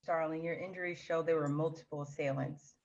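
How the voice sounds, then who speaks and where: A man's voice: on the high side, steady tone, fairly quick, creaky. Man acting a persistent detective, dull lo-fi sound. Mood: probing. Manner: probing, plain-spoken questioning. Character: persistent, frustrated police detective. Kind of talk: screenplay table read acting.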